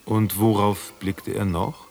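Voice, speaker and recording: A man's voice: lowish voice, flat delivery, slow, gravelly, darkish tone, faint music behind. A man playing a grave, terse second narrator in a scripted literary radio drama. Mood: grave, detached.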